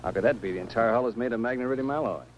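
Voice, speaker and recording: A man's voice: mid-range voice, racing, dull audio, slight background noise, close to an everyday voice. A man acting a commanding, formal scientist who leads a space project in a 1950s science fiction film. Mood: puzzled.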